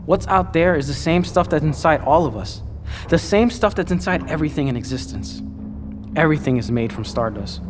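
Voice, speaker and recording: An actor playing a serene, playful space-colony monk in an audio drama, speaking in a lofty, philosophical and teasing way. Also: male voice, a little high, lively intonation, brisk, natural voice. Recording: long hall reverb, music underneath.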